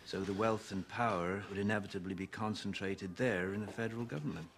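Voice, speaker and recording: Period film casting: a genteel, doubting revolutionary statesman, low voice, careful formal delivery, slightly noisy soundtrack. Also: steady tone, creaky. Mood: troubled, wary. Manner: measured, formal, faintly hesitant and wry. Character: courtly, skeptical Virginian statesman of revolutionary-era America. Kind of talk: period film drama dialogue.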